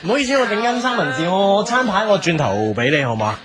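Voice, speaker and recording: An actor with a Hong Kong Cantonese accent playing a friendly, chatty young café owner in a radio drama, easily flustered. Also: male voice, sits high, sing-song, quick pace, crowd noise. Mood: eager, chatty. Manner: chatty, eager, stammers when flustered. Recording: music behind the voice.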